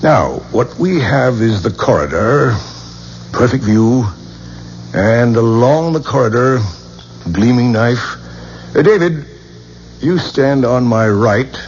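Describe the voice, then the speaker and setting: An actor playing a commanding, probing professor who runs occult experiments, speaking deliberately in a 1970s radio drama. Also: male voice, medium pitch, lively intonation. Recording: dull, noisy 1970s radio recording.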